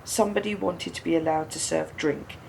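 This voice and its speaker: Woman acting a low, gravelly, wounded confronter. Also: a little fast. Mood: quietly bitter. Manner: probing, pointed, echoing words back. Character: bereaved, wounded woman confronting a man, controlled bitterness. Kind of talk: naturalistic radio play dialogue. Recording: steady background noise, low rumble.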